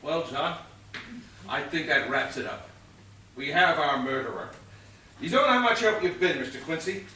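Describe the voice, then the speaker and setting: An officious police inspector played by a man with a high, sharp voice in a comic stage whodunit. He questions drily and briskly, with exasperation underneath. It is recorded from far off in a very echoey space. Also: animated. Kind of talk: comic stage play acting. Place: stage of a small theatre. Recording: distant echoey theatre recording, faint noise.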